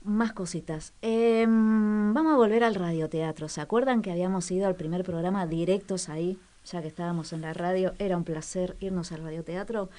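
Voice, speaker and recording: A woman's voice: lowish voice, fairly even intonation, normal speed, hardly any pauses, mellow. Woman chatting as a radio co-host. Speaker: co-host of a cultural radio show. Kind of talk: casual spoken radio segment.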